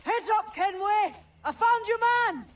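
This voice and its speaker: A woman's voice: extremely high pitch, lively intonation, unhurried, slight background noise. An actress playing a bold, sly woman pirate in disguise, with a teasing, tough manner. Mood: urgent.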